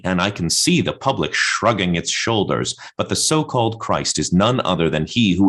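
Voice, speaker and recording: Radio play role: blasphemous madman poet, played by a man, low register, deliberate and emphatic declamation. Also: a bit bright. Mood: blasphemous, declamatory. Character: defiant, blasphemous madman poet raging against God. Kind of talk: theatrical radio play recitation.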